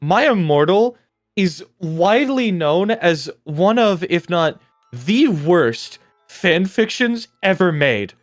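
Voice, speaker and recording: Animated, theatrical co-host over music. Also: medium pitch, slow pace. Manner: theatrical, emphatic, jokey, sweary. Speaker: livestream co-host. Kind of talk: casual livestream banter.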